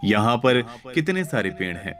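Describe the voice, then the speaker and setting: A man's voice: medium pitch, sing-song, moderate pace, background noise, natural voice. A man voicing a happy, resourceful soul in heaven, explaining matter-of-factly in a moral tale. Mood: contented, explaining. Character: happy, resourceful, contented souls living in heaven. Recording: background music under voice.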